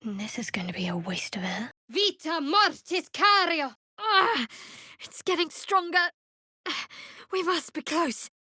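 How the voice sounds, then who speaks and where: An actress playing a guarded, dryly sardonic young woman cleric of a dark goddess in a fantasy video game. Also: very high voice, expressive, takes it slowly, no echo, studio quality, heavily acted. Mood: hushed, then forceful incantation, then alert.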